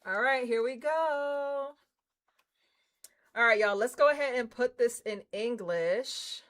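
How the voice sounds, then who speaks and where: A woman live-streaming a game, reading screen text and chatting to viewers in an excitable, slangy way. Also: a little high, takes it slowly. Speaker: streamer, host. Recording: music behind the voice. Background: game music.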